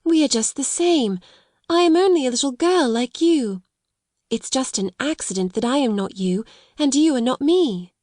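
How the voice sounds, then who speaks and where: A woman voicing a kind, dreamy young schoolgirl who speaks softly and reassuringly. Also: fairly high pitch, animated, normal speed, no echo, clean studio sound. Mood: earnest. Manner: soft, gentle, reassuring.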